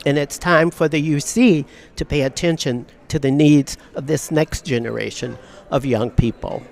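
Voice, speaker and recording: A woman's voice: sits low, vocal fry, a little mellow. Earnest older woman, outdoor campus interview. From Southern California. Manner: earnest, measured, repeats phrases for emphasis. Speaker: professor interviewed on a strike.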